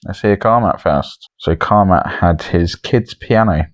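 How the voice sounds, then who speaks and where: A man's voice: sits low, a little slow, no room sound. A man hosting a podcast with casual, unscripted banter. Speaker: podcast host.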